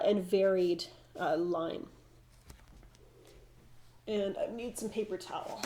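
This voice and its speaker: A middle-aged woman with a slightly froggy, stuffed-up voice from hay fever, thinking aloud as she demonstrates watercolor painting. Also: mid-range voice. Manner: relaxed, thinking aloud while painting, frequent restarts. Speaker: watercolor instructor.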